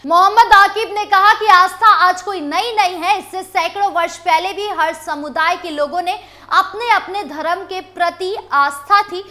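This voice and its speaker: A woman's voice: very high-pitched, a little slow, bright, forceful tone. A woman news anchor reading scripted political news stories.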